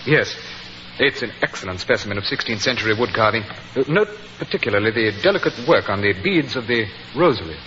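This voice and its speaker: An actor playing a proud, reserved English lord with a British accent, polite and formal, in 1940s radio style. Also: male voice, lowish voice, expressive, fairly quick, pushed and bright. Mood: polite reserve. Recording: dull, noisy, crackling 1940s radio recording.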